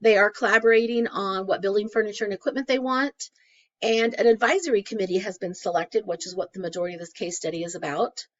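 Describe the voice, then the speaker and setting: A woman with a crackly, hoarse voice walks through a case study for a class in a loose, conversational way, often pausing on "um". Her pitch sits in the middle range. The recording sounds dull and lo-fi. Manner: conversational, many "um" fillers. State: hoarse from a cold. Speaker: graduate student presenting a case study.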